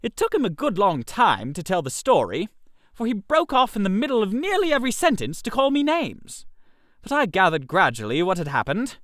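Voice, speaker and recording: A man narrating a comic first-person story in a theatrical, expressive manner. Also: sits high, moderate pace, no echo, studio quality.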